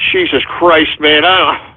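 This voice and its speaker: A man hosting a call-in radio show, brash and excitable, hurling insults at callers and often yelling. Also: a little high, very slow. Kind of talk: loud ranting call-in banter. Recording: tinny lo-fi stream audio.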